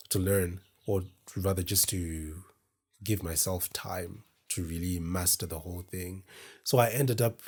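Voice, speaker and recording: Deep, husky man, slow and reflective. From Zimbabwe. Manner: reflective, meandering, self-deprecating. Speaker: podcast host. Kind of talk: casual solo talk to camera. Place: indoors in front of a camera.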